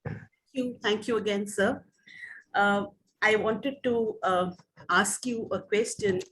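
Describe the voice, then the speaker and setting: Slow, polite woman asking a question. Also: mid-range voice, fairly bright tone, rough recording. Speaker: session participant. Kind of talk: asking a question on an online call.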